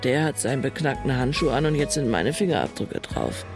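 A woman's voice: very deep voice, lively intonation, a little fast, music in the background. An actress playing a bitter, coarse middle-aged woman who tells her story sardonically in a radio drama, in colloquial speech. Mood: exasperated, defensive. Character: bitter, foul-mouthed middle-aged woman telling of prison. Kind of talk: first-person radio drama narration.

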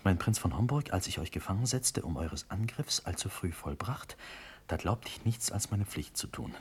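A man playing a condemned prince in a classical verse radio drama, anxious, stunned and proud, with a husky, breathy voice. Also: deep voice, lively intonation, normal speed, heavily acted. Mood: stunned, pensive.

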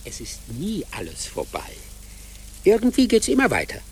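A man's voice: sits high, huge pitch swings, moderate pace, a little hiss or hum. A man playing a friendly, wry, clever fox guide in a German radio play. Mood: playful, reassuring. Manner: playful, reassuring.

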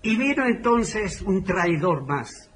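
An older man with a Chilean accent tells oral history as a former community leader, in deliberate, halting phrases with pauses. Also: sits high, sing-song, a bit bright, slight background noise.

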